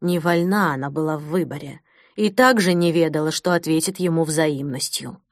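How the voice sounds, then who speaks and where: A woman playing a wise, grave old foster mother in an audiobook, speaking in a measured, solemn way. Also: low-pitched, dry recording, studio quality. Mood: grave, consoling. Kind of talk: audiobook character acting.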